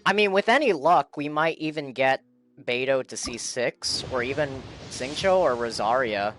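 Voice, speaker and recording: A man's voice: medium pitch, lively intonation, moderate pace, a bit bright, faint music behind. A man chatting casually on a livestream while gaming, repeating himself in playful, pleading fashion. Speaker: game live streamer. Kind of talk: casual livestream chat while playing a game.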